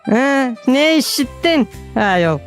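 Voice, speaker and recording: A woman's voice: high voice, very animated, slow, exaggerated voice. A woman voicing a teasing, scolding village woman in a cartoon, full of exclamations. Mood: mock scolding. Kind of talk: cartoon character dialogue. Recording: music behind the dialogue.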